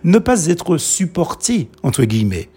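A man whose first language is a Creole, preaching a sermon in short, measured, emphatic phrases. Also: on the high side, sing-song, warm tone, breathy edge.

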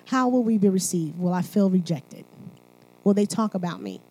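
A woman's voice: medium pitch, animated, normal speed, warm tone, rough recording. A woman from Haiti speaking at church, mixing sermon and personal testimony in a conversational, emphatic manner, often checking in with listeners.

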